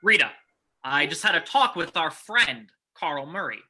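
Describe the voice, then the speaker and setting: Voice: mid-range voice, very animated, measured pace, sharp tone, exaggerated voice. An actor playing a ruthless, greedy male gold-rush crime boss in an old-time radio drama, snapping and domineering. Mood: scheming. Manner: hard-boiled, snapping, domineering.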